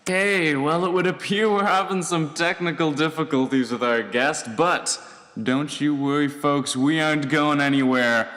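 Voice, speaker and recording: Guy doing a 1920s college radio host, kinda high voice, showy and folksy, pushy but chummy. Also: moderate pace, fairly bright tone, close to an everyday voice. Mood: upbeat, smoothing over. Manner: showy, folksy announcer patter. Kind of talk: 1920s radio acting, scripted hosting.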